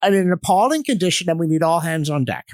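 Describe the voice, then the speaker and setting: A man with a fairly high-pitched voice talks in a dry, close recording. He is middle-aged, articulate and emphatic, explaining his opinions like a lecturer in conversation. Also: animated, fast, no echo. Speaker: podcast guest, history commentator. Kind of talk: opinionated conversational discussion with anecdotes.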